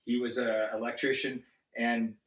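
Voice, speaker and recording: A man born in Zambia, a guest on an interview, speaking earnestly and haltingly with many restarts. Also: medium pitch, little pitch movement, a bit bright, distant mic. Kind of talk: casual interview. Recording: echoey room, set back from the mic.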